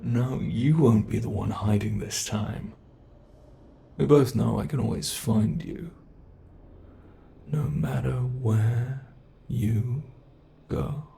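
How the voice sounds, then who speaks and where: A man voicing a sinister, playful monster in an ASMR horror roleplay, gravelly and sometimes breathy, taunting with theatrical, unhurried menace. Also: medium pitch, dark tone, a little hiss or hum. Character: sinister playful male monster hunting its captive.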